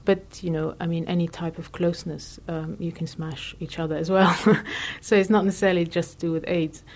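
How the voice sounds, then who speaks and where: Reflective low-voiced woman artist. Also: level tone, fairly quick, a little mellow. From Lebanon. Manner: reflective, thinking aloud with many fillers. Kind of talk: interview answers.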